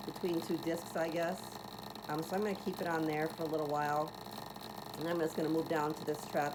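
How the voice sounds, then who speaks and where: Woman, low raspy voice, chatty home vlogger, meandering and eager, lots of ums and restarts, faint noise behind. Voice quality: raspy, deeper voice. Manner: chatty and meandering, many fillers and restarts, enthusiastic. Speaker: vlogger demonstrating a device. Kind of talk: casual home demonstration vlog.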